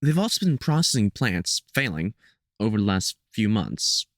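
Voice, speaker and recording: A man playing a gruff, protective veteran vampire hunter in an audio roleplay, talking hesitantly and with light sarcasm to the listener. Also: lowish voice, very animated, normal speed, fairly bright tone, no echo, clean studio sound. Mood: puzzled, wary.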